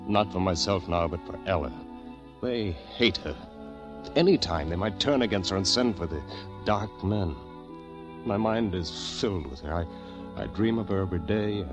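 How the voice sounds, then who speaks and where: A man playing an earnest, anxious, kindly gentleman who narrates his diary in a 1940s radio drama. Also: deep voice, highs cut off, over music, lightly acted. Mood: anguished narration. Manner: measured diary narration, stammers when agitated.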